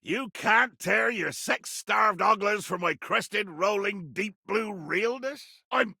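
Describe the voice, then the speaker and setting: An actor playing a blustering, proud pirate captain in a video game, with a gravelly, raspy voice and pompous, old-fashioned seafaring speech. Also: male voice, high-pitched, slow, sharp tone, no room sound, clean studio sound, full character voice. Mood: boastful. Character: blustering, theatrical pirate captain, bearded, proud, adventure-hungry. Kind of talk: video game character acting.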